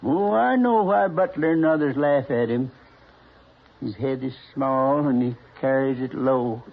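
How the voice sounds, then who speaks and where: Old West rancher: American, hoarse-edged and earnest, stubborn pride, folksy delivery, tinny old radio drama recording. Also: male voice, mid-range voice, unhurried. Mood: proud, earnest. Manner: earnest, folksy, hesitant then insistent. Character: earnest, stubbornly proud small-time horse rancher, man. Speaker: actor in a radio western.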